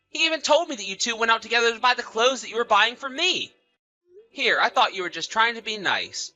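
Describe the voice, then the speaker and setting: A man's voice: sits high, bright, forceful tone, exaggerated voice, low-quality recording. A man playing a betrayed husband in an acted audio drama, bitter, sarcastic and accusatory. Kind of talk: acted audio story dialogue and narration.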